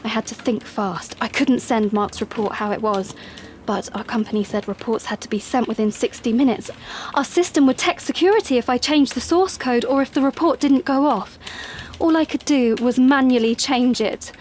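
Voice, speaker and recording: Actress doing nervy young brit woman in an audio play, highish voice, earnest n halting, bit of noise behind. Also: a little mellow, natural voice. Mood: tense, confiding. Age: young adult. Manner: hesitant, earnest, pleading. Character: anxious, well-meaning young woman working in surveillance. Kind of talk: audio drama monologue and dialogue.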